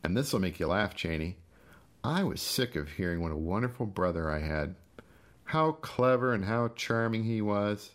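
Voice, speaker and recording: Man voicing a low-voiced, sadistic, taunting brother. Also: animated, normal speed, natural voice. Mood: gloating, raging. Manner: mocking, menacing, sing-song rhetorical questions.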